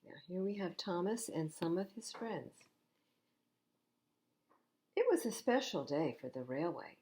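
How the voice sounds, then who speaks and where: An older woman reading children's stories aloud at storytime, expressive and unhurried, with little asides to young listeners. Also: on the low side. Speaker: library storytime reader.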